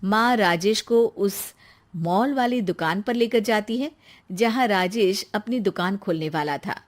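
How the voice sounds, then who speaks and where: A woman narrating a scripted cartoon story in a plain, steady way. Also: mid-range voice, unhurried.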